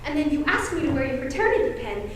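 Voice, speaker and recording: A woman's voice: sits high, big pitch swings, fast. A teenage girl acting a bossy, fussy married hostess in an amateur stage play, with an emphatic manner. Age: teens. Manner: gushing, scolding, emphatic. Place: high school stage, filmed play. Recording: echoey stage, distant mic, faint noise.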